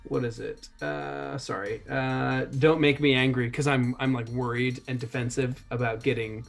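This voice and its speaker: A man's voice: medium pitch, fairly even intonation, moderate pace, gravelly, faint music behind. A man playing a tabletop roleplaying game, chatting casually and hesitantly as he thinks aloud.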